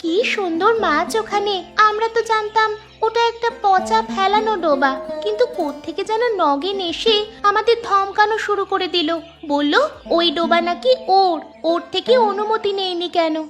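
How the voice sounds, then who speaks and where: A very high-pitched little cartoon girl played by a woman, stubborn and out for revenge. She speaks emphatically and defiantly, with light music underneath. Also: normal speed. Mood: complaining. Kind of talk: children's cartoon dialogue.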